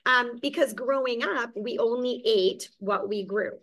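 Woman from Hungary, guest, easy storytelling, slightly high voice. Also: unhurried, fairly bright tone, rough recording. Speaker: business owner guest. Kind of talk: casual interview. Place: indoor garden business.

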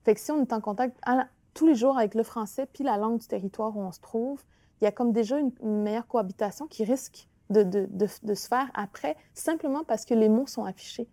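A woman with a Quebec French accent and Innu as her first language, a guest author speaking thoughtfully with many pauses and restarts in an interview. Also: fairly high pitch, dark tone, no echo. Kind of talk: reflective conversational interview.

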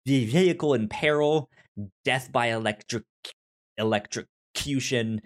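A man speaks at an average male pitch in a casual podcast conversation about film. He is a co-host who talks haltingly, often stammering, repeating the starts of words and leaving phrases hanging. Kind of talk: casual film discussion chat.

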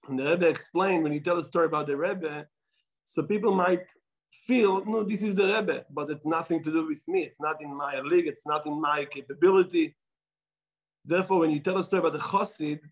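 Israeli rabbi with a higher-pitched voice. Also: male voice, rough recording. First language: Hebrew. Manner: halting, non-native English with repeated phrases. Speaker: rabbi giving a talk. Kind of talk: storytelling religious talk at a gathering.

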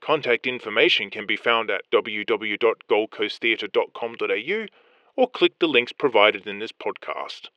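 A male announcer delivers a scripted community notice. His voice is bright and forceful with animated pitch movement. The recording is very dry and a little lo-fi. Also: moderate pace, dry recording.

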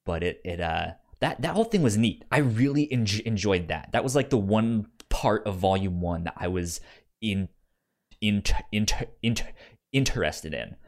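Male co-host for casual discussion show. Halting, repeats words, highly animated pitch. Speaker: podcast co-host.

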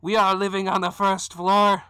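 A man's voice: sits high, flat delivery, normal speed, bright, forceful tone, clear, resonant tone, dry recording. A man voicing a furious, vengeful talking trowel in a tabletop game, ranting theatrically. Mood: enraged.

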